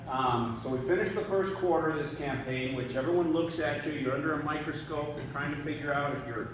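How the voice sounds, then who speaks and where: A man's voice: a little high, brisk, background noise. Man, 50s, campaign speech, folksy and rambling, heavy room echo, distant mic. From northern New York. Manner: conversational, folksy, with fillers and repeated words. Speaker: congressional candidate. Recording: echoey room recorded from far off.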